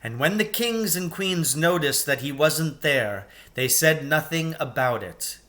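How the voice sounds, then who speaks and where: A man narrating a children's storybook expressively, in a measured way with dramatic emphasis. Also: fairly high pitch, expressive, fairly bright tone. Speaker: reader of a children's novel.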